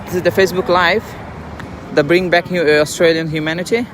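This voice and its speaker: A man with a Bangladeshi accent vlogging live from a street, speaking in a halting, earnest, repetitive way. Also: high voice, steady tone, background noise. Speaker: vlogger and refugee activist. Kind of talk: live street vlog, informal. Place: outdoors at a busy roadside protest.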